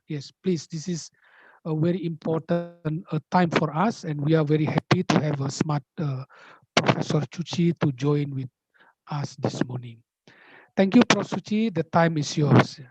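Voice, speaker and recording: A man with an Indonesian accent moderates an online workshop in slow, deliberate English, pausing often on filler sounds. His voice comes through a dull, lo-fi video call line with a dry, close sound and no room echo. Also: sits high, darkish tone. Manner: halting, many filler sounds. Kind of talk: introducing a guest speaker.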